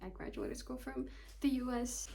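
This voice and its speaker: A woman's voice: on the high side, very animated, moderate pace, dark tone, a little hiss or hum. Chatty young woman vlogging from notes. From Kyrgyzstan. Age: 20s. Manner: chatty, self-mocking, loosely reading from notes. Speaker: vlogger, psychology student. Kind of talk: casual talking-to-camera vlog.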